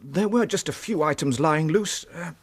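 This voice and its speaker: A man playing an earnest, middle-aged British police inspector in a radio drama, giving grave, halting reports in a husky voice. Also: a little high. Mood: reporting. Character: earnest, shaken veteran male police inspector.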